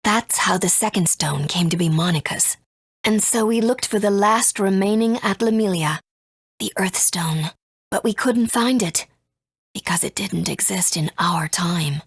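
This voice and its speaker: A woman voicing a gentle, earnest mother and resistance fighter in a video game, soft and breathy, speaking in a measured, tender way. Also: medium pitch, no room sound, clean studio sound. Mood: earnest, solemn. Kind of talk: English video game voice acting.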